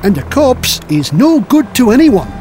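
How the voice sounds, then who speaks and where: A man's voice: extremely high pitch, very animated, a little slow, background noise, voice pushed up, full character voice. A man voicing a sly, wheedling Viking captain in a storybook tale, with singsong mock-innocence.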